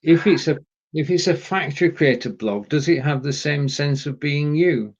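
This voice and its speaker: A man's voice: a little high, normal speed, lo-fi sound. A man from England hosting a casual online chat show, explaining things in a stop-start way over a streaming call. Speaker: show host and producer. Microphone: live streaming video call.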